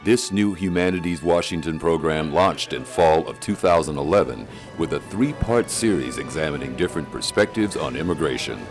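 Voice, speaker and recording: An extremely deep male voice reading a scripted introduction in a measured, formal way, with faint music underneath. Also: animated. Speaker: documentary narrator. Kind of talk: scripted narration.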